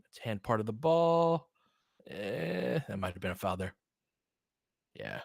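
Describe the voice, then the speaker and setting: A man's voice: lowish voice, very animated, unhurried, vocal fry, no room sound, studio quality. A man doing casual live basketball play-by-play in short clipped phrases, with informal slang and a fan's partisan reactions. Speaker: play-by-play commentator.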